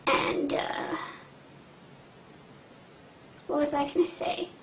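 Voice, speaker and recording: A young woman talking to camera in a casual vlog, hesitant and earnest, with many pauses and fillers. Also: a little hiss or hum. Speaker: vlogger. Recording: echoey room.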